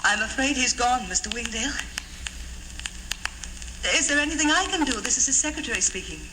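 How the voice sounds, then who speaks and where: A woman's voice: fairly high pitch, lively intonation, a little fast, close to an everyday voice. An actress in a 1950s radio drama playing a timid, devoted secretary, anxious and deferential, hesitant in her speech. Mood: nervous, polite. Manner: hesitant, pleading, polite. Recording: noisy, crackly 1950s radio recording.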